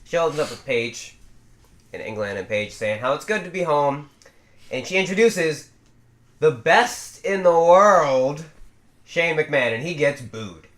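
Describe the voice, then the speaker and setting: A man's voice: on the high side, lively intonation, a little slow, fairly bright tone. A young man co-hosts a laid-back wrestling podcast, his voice rising and falling a lot as he talks. He sounds exasperated and keeps pulling the chat back on track. The room around him rings with echo. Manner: exasperated, steers back to the rundown. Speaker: podcast co-host. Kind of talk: casual wrestling review chat. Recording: echoey room.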